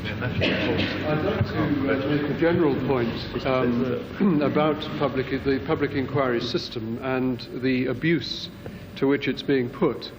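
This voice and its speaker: Halting man, medium pitch, noisy recording. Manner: halting, formal. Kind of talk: hesitant formal comment from the floor. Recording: clearly noisy background.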